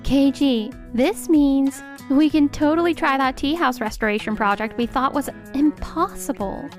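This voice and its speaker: A woman's voice: high-pitched, normal speed, natural voice. A woman acting a sweet, admiring young designer in an anime-style manga dub. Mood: amazed. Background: music.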